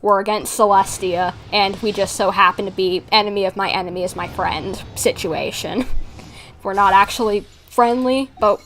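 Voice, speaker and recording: A woman's voice: medium pitch, normal speed, a bit bright, slight background noise, poor audio. A woman livestreaming a game, chatting casually with viewers in a rambling, giggly manner as she plays. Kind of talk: casual livestream chat while playing, replying to viewers.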